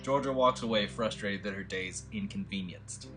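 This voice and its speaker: A man's voice: fairly low pitch, moderate pace, over music. Man reading stage directions. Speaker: script reader of stage directions. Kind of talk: reading scene headings and action.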